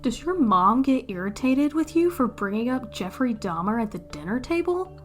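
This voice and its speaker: Woman promo reader, expressive swings in pitch, comedy podcast host, music softly underneath. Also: moderate pace.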